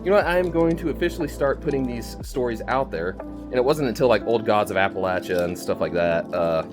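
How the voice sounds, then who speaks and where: A man talking in a casual, rambling vlog style to his listeners, informal and halting as he thinks aloud. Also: on the low side, music in the background. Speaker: storytelling channel creator and host. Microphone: phone video recording.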